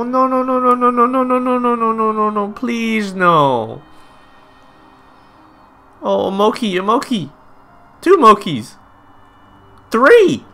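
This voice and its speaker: A man's voice: high-pitched, bright, forceful tone. A man giving casual, emotional let's play commentary, gushing as he reacts to the game. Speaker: gaming YouTuber.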